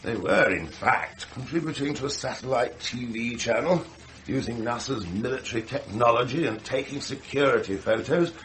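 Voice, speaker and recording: Wealthy heir, male, radio drama: flippant, entitled, callous and chatty, middle-pitched, on a faintly hissy recording actor in role. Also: animated, normal speed, a bit bright, lightly acted. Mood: breezy, cynical. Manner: breezy, chatty, offhandedly entitled. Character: callous, flippant, wealthy heir, self-satisfied grown son.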